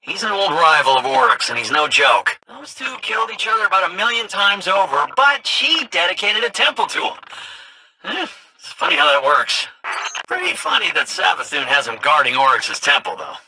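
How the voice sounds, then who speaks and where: A man playing an excitable, cheeky game sidekick and guide who talks casually and stammers when flustered. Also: sits high, moderate pace, slight background noise, full character voice, rough recording. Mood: casual, alert. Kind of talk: video game character dialogue.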